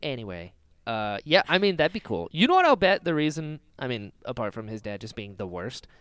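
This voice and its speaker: A man co-hosting a casual fan discussion, talking in an animated, jokey way and slipping into little acted-out quotes. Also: mid-range voice, a little fast, clear, resonant tone, no echo. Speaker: podcast co-host.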